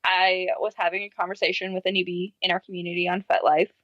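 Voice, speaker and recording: A woman co-hosting a casual podcast, animated as she acts out quoted messages and laughs easily. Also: fairly low pitch, fast talker, no room sound, dull audio. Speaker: podcast co-host.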